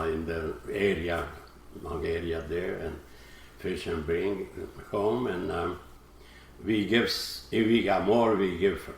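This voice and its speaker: Older Croatian-accented man, deep and slow, halting broken English, guest storyteller, echoey room. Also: gravelly, a little hiss or hum. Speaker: guest, retired fisherman. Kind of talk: interview.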